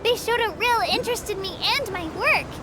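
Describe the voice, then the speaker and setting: A woman's voice: extremely high pitch, brisk. A woman voicing a small, cheerful, earnest creature who works as a tour guide in a video game, with cute, polite, childlike enthusiasm. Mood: friendly, earnest. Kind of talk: scripted video game character dialogue, guided tour narration. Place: studio voice recording with music behind.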